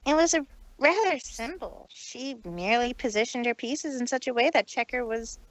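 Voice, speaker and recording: A woman's voice: a little high, animated, moderate pace, no room sound, voice pushed up, close to an everyday voice, rough recording. A woman voicing a proud but troubled female pony magician, theatrical and formal, who speaks of herself in third person. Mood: smug.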